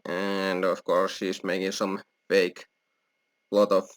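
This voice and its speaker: A man's voice: sits low, completely flat delivery, slow. A man giving casual, explanatory let's play commentary as a gaming host, with non-native phrasing. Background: video game music.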